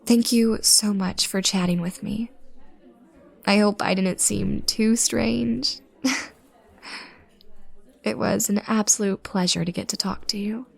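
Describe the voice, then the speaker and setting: Shy young female stranger played by an actress, audio roleplay: unhurried, crackly fry, hesitant, sweetly awkward overthinker. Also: mid-range voice, steady tone, heavily acted. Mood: bashful, grateful. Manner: hesitant, self-conscious, soft-spoken rambling. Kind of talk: intimate roleplay audio drama, rambling naturalistic chat.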